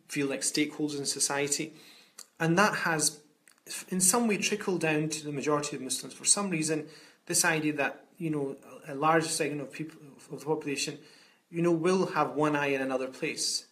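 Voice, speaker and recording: A man's voice: fairly high pitch, a bit bright. A man, an Islamic scholar speaking earnestly from home over a video call, often repeating words as he restarts. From Scotland. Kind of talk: reflective talk on a video call. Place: home room indoors. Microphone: webcam on a video call.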